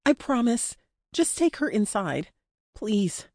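A woman's voice: a little high, big pitch swings, slow, mellow, no echo, pitched above the performer's own voice, exaggerated voice. Narrator lady as a feisty young woman held captive, urgent, pleading, bit defiant, dry close audio.

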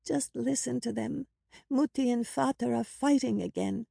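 A woman acts out a little girl of eight in a story. The voice is small and lifted high, innocent and eager, quick to take fright. It sits close and dry. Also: animated, creaky, dark tone, no echo. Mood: frightened. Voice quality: small, childlike voice.

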